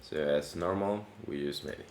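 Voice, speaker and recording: A man with a very low voice, thickened by allergies, talks through a coding tutorial in a relaxed, chatty way, full of little fillers and restarts. He sounds like he is in an echoey room, with a faint hiss of noise behind him. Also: normal speed. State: voice deepened by allergy. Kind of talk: casual screen-recorded coding tutorial.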